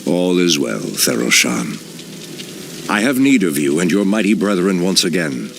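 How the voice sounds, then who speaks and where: A man's voice: low voice, sing-song, unhurried, creaky, a little hiss or hum, full character voice. An actor playing a stern, noble male elf druid leader who speaks in a grave, commanding way.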